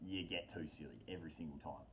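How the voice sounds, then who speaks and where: Male storyteller, low-pitched, casual and rambling, slangy and sweary, leaning on repetition for dramatic effect. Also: fast talker, very noisy.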